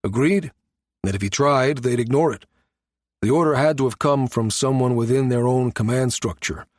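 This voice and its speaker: Man acting a firm, measured security chief. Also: mid-range voice, dry recording, clean studio sound, natural voice. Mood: measured, conceding. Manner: measured, matter-of-fact, authoritative. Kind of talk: audiobook character dialogue.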